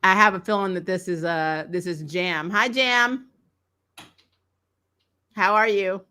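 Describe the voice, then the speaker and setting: A woman co-hosting a casual comic book chat, telling things in an animated, sarcastic way with mild swearing. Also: medium pitch, slow, clean tone. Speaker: co-host of a comic book discussion stream. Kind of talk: casual fan chat, reading and answering viewer comments.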